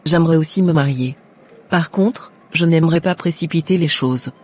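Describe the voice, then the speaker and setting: A woman's voice: sits low, moderate pace, a little mellow, slightly rough. A woman voicing a devout young bride. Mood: polite. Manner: earnest, prayerful.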